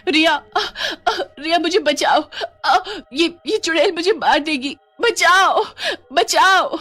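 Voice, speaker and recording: A woman's voice: very high voice, slow pace, pushed and bright, dry recording, soft background music, voice pushed up, exaggerated voice. A woman voicing a timid, scared young female roommate in a cartoon story, nervous and pleading. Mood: terrified. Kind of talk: Hindi cartoon story acting.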